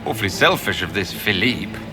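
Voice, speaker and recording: Low-voiced, courteous fantasy hero. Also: male voice, expressive, fairly quick, full character voice. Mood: courteous, curious. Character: composed, courteous male Inquisitor hero of a fantasy game. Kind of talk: scripted video game dialogue. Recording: loud game music behind.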